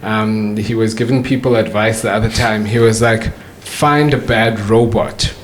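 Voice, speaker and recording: Halting man chatting about trading. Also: lowish voice, fairly even intonation, slight background noise, poor audio. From South Africa. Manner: halting, many restarts and fillers. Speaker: forex trader. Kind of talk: casual podcast conversation.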